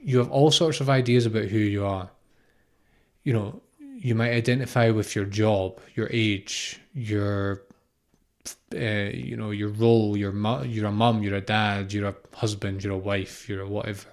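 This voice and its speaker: A man's voice: on the low side, steady tone, normal speed, a little mellow. A man in his thirties from Scotland gives an unscripted, informal spiritual talk, explaining reflectively and often repeating phrases or posing questions. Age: 30s. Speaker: spiritual teacher, podcast host.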